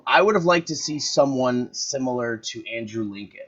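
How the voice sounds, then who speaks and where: Rambling, eager man, bright voice. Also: medium pitch, animated, normal speed, husky, muffled recording. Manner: rambling, enthusiastic, gropes for names. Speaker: podcast co-host. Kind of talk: casual chat about movies.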